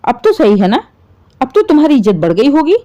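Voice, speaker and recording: A woman's voice: high-pitched, sing-song, fairly quick, warm tone, dull audio. Woman acting stern, exasperated older father-in-law. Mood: reproachful. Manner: firm, reproachful.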